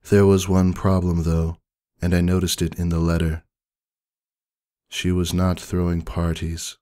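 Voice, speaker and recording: A man voicing a stoic older sister pony, narrating a story in a terse, deadpan way. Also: sits low, no room sound, clean studio sound. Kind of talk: dramatic first-person story reading.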